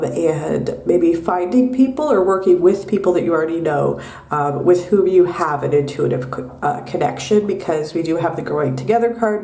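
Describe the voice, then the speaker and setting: A young woman giving a tarot reading in a soft, unhurried way with many pauses and ums, her voice nasal from a cold. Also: deep voice, far from the mic. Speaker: tarot reader. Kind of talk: pick-a-card tarot reading. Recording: echoey room, mic set back.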